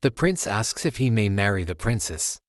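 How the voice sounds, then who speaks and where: A man's voice: fairly low pitch, big pitch swings, moderate pace, slight background noise. A man hosting a storytime channel, reading a children's picture book aloud as narrator.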